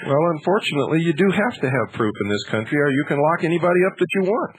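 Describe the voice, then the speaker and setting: A man's voice: fairly high pitch, lively intonation, rapid-fire, slight background noise, poor audio. An American man hosting a live call-in radio show, questioning and debating in a confrontational, insistent, blunt way. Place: radio studio.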